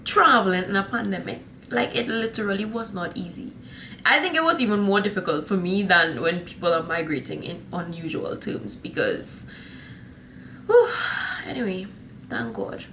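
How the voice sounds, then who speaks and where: A woman's voice: medium pitch, very animated, moderate pace, sharp tone. Young trinidadian woman rambling to her camera at home, sassy and chatty, faint room hum behind. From Trinidad and Tobago. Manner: chatty, sassy, rambling, often says honey and y'all. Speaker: vlogger. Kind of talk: casual chat to camera.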